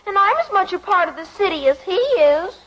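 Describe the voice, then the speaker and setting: A very high, swooping little girl's voice, whining and protesting stubbornly. It is old radio comedy acting on a dull recording with slight noise. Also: very animated, brisk, a bit bright, exaggerated voice. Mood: indignant. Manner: whiny, protesting. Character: whiny, stubborn, argumentative little girl. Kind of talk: 1950s radio sitcom acting.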